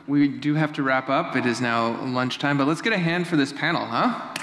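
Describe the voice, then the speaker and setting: A man moderating a conference panel, upbeat and brisk as he wraps up. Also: mid-range voice, fairly bright tone, a little hiss or hum. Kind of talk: wrapping up a panel. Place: conference stage, large hall. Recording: echoey hall, faint audience.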